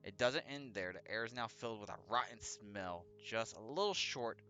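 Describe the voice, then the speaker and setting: A man's voice: fairly low pitch, normal speed, pushed and bright. A man hosting a let's play, reading a visual novel aloud with casual commentary, sometimes stumbling and correcting himself, with music behind him. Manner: stumbles over read text and corrects himself. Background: background music.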